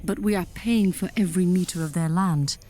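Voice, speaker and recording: A woman's voice: lowish voice, brisk, mellow, close to an everyday voice. A woman playing a commanding, impatient alien general in a sci-fi audio drama, clipped and drily sarcastic. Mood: stern, impatient.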